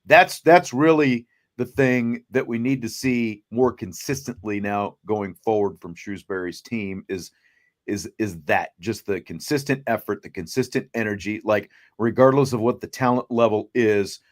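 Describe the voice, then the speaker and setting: A man's voice: on the low side, normal speed. Man hosting unscripted sports talk. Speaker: sports talk show host.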